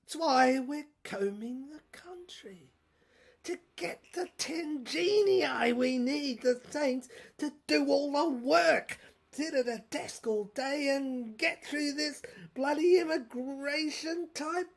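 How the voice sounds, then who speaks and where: Brief: woman as overwrought official in radio play, high strained voice, volatile, slow delivery. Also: creaky, heavily acted. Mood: exasperated ranting. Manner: strained, volatile, lurching from hushed to loud. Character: agitated, overwrought corporate official railing in despair.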